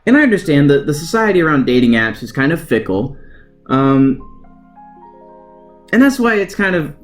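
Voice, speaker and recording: A man's voice: a little high, big pitch swings, moderate pace, faint music behind. A young man telling a story in a casual, conversational, self-deprecating vlog style with slangy phrasing. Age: young adult. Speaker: vlogger.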